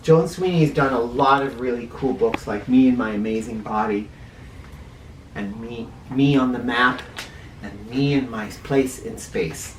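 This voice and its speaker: A man reading a picture book aloud to children as host, deliberate and explanatory, with informal teaching asides. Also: mid-range voice. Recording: roomy echo, distant mic, faint noise.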